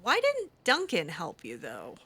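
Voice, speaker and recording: A woman's voice: lowish voice, wildly swooping pitch, measured pace, fairly bright tone, husky. A woman improvising in-character roleplay, hesitant and full of ums. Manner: hesitant, with frequent ums and restarts. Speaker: roleplaying game player. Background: faint game music.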